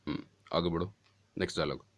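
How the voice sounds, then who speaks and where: Male coach, directive and casual. Brisk delivery, lowish pitch, demonstrates lines for a trainee. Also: fairly even intonation, slight background noise. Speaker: voice-over coach directing an audition. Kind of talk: casual spoken instruction.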